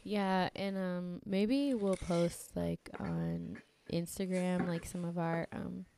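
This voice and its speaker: A young woman co-hosting a podcast in casual chat, a little congested from allergies, chatty and quick to laugh. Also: on the low side, expressive, warm tone, slight background noise. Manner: chatty, laughs often, lots of fillers. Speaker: podcast co-host.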